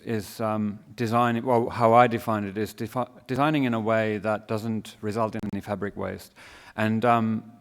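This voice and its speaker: A low-voiced man with a Finnish accent blended with Australian vowels, speaking at an event in a relaxed, self-deprecating way. His voice is smooth and resonant, and it carries a long reverb from the hall. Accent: Finnish with Australian mix. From Finland. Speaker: fashion design academic, panel speaker. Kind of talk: panel presentation.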